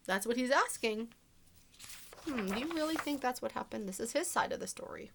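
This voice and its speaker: A woman reading a storybook aloud to children as a teacher, pausing to explain simply and ask the young listeners questions. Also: a little high, lively intonation, vocal fry, noisy background.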